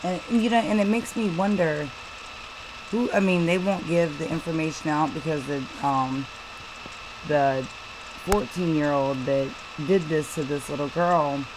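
A woman commenting on a news story, talking haltingly with many fillers and restarts. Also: low-pitched, noisy background. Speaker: channel host reading news and commenting. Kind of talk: casual commentary over a screen recording.

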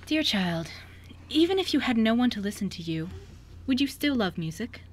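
A woman playing a stern but kindly older music teacher in a radio play, firm, measured and encouraging. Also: fairly high pitch, very animated. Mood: wise, encouraging. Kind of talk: amateur radio play acting.

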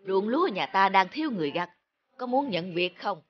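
A man's voice: sits high, expressive, brisk, lo-fi sound, slight background noise. Man playing a curt, haughty rich landowner. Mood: imperious. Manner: curt, imperious. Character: haughty rich male village landowner hiring labourers. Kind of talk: cartoon folk-tale dubbing.

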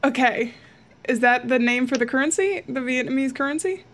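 A young woman with a high voice talks through a horror game, chatty and jittery and poking fun at her own fear. She speaks into a studio mic in a small room at home, with clear noise behind her. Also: brisk. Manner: jittery, chatty, self-mocking. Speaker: gaming YouTuber. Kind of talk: horror game let's play commentary. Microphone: studio mic with pop filter, headphones.

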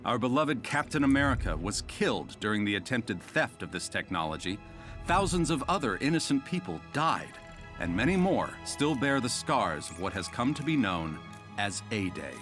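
An actor playing a grave, dramatic TV news reporter delivering a scripted report in a video game. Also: male voice, mid-range voice, big pitch swings, normal speed, fairly bright tone, faint music behind.

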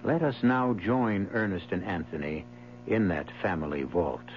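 A man narrates a vintage radio mystery in a theatrical, deliberate style. His voice sits fairly low, with music playing behind it on a muffled broadcast recording. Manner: theatrical, deliberate rhetorical phrasing. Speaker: host and narrator. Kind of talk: dramatic scripted narration, 1970s radio.

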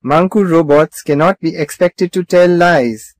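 A man's voice: fairly high pitch, unhurried, no room sound, close to an everyday voice. An actor playing a stern customer service man at a robot company, formal and firm, in a children's cartoon.

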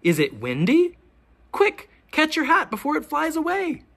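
A teacher reading a children's picture book aloud, with expressive, deliberate questions pitched to young children. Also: gravelly. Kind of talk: children's storybook read-aloud.

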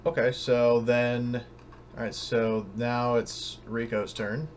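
A man's voice: medium pitch, level tone, slow pace, a little hiss or hum. A man acting as game master in a casual tabletop game, hesitant and unsure, often thinking aloud.

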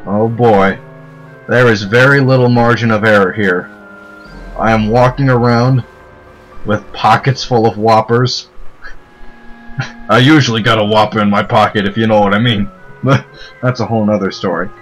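Young male let's player, deep low voice, loose jokey rambling, faint music underneath. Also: steady tone, moderate pace, pauses often, rough recording. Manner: joking, rambling, laughs often. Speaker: let's play commentator. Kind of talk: casual gameplay commentary.

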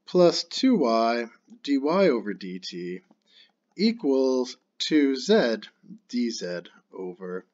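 A man's voice: medium pitch, lo-fi sound. A man teaching a calculus problem step by step while writing it out on screen. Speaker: math teacher. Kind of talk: screen-recorded math lesson.

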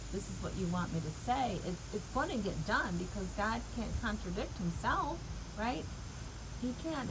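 A middle-aged woman with a mid-range voice talks through scripture like a devotional coach. She is earnest and encouraging, chatting directly to the listener. She is recorded outdoors in a garden, with strong cricket and insect noise behind her. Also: medium pitch. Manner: earnest, encouraging, conversational with rhetorical check-ins. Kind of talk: casual devotional talk with scripture reading. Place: outdoors in a leafy backyard garden. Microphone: clip-on lapel mic.

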